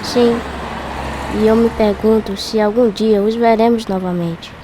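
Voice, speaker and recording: A woman's voice: fairly high pitch, fairly even intonation, measured pace, background noise, lightly acted. A woman playing a curious young boy in a radio drama. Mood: wondering. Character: curious young boy, bereaved twin brother.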